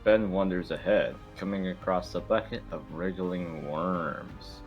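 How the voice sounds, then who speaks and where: A man's voice: low voice, unhurried, faint music behind. A man hosting and narrating an amateur table read, informal and sometimes stumbling over the script. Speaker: host and narrator.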